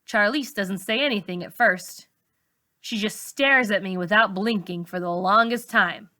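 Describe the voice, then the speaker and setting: Woman, bright forceful tone, middle pitch, expressive story narration, teacher reading aloud. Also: unhurried. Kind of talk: expressive audiobook-style narration.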